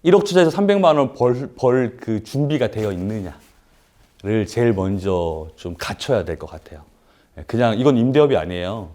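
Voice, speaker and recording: A man's voice: medium pitch, mellow. A man with a throat cold gives a live seminar lecture in an indoor room with an audience. He talks casually, asks rhetorical questions and uses many "uh" fillers. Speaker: lecturer at a paid business seminar.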